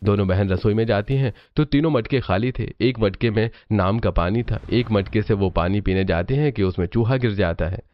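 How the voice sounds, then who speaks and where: A man's voice: fairly low pitch, normal speed, hardly any pauses. A man narrating a scripted cartoon story, on a muffled recording with music behind him.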